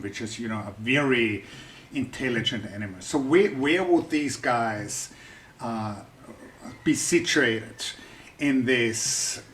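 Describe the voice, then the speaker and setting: A man's voice: medium pitch, a bit bright, slight background noise. A man with a German accent explains a scientific debate to a general audience in a chatty, thinking-aloud way, often restarting his sentences. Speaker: science YouTuber. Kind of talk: explaining research for the general public.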